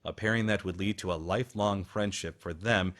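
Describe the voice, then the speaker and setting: A man's voice: low voice, brisk, a bit bright. A man hosting a radio program with scripted documentary narration.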